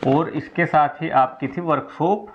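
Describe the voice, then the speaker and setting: Guy with a kinda high voice explaining updates to students, repeats himself, bit muffled lo-fi sound. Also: steady tone, unhurried, slight background noise. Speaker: exam news presenter explaining updates to candidates. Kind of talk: informal explainer addressed to students.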